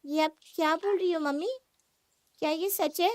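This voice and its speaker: A woman's voice: very high voice, normal speed, darkish tone, no room sound, pitched above the performer's own voice. A woman voicing a jealous, sulky young married woman in a cartoon moral story, complaining and argumentative. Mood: alarmed.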